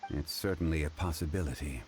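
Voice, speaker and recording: Deep, composed, learned gentleman. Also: slight background noise. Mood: concerned, calm. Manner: measured, formal, deliberate. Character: composed, learned, formal gentleman consultant, male. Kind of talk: video game voice acting.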